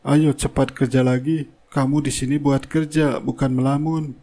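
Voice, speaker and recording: A man's voice: medium pitch, little pitch movement, moderate pace, mellow, natural voice. A man playing a bossy construction foreman in a cartoon drama, scolding in a brusque, impatient way.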